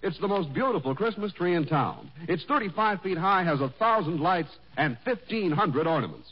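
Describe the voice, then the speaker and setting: Courteous store floorwalker, gushing pitchman, very lively intonation, muffled 1940s radio comedy. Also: moderate pace, breathy edge. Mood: polite, eager. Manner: gushing salesman patter. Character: eager, polite department store floorwalker pitching soap. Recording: muffled, slightly noisy old radio broadcast.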